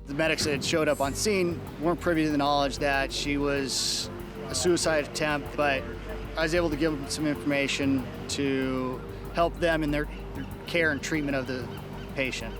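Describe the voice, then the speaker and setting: A man's voice: a little high, fairly even intonation, normal speed. A man, a lifeguard sergeant, recounting a call in a matter-of-fact, professional way. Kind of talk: on-the-job talk and interview. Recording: loud music under voice.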